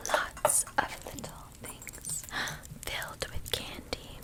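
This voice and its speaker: A woman hosting ASMR in a breathy whisper, chatting to viewers and playfully repeating words and phrases in a rhythmic way. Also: slow pace. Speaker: ASMR video host.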